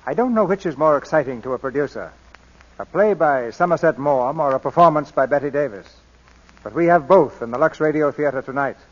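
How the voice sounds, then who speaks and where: A man introducing and narrating a radio drama in a stately, polished showman manner. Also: on the high side. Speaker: radio drama host and producer. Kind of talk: formal introduction and scripted narration. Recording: dull old radio broadcast.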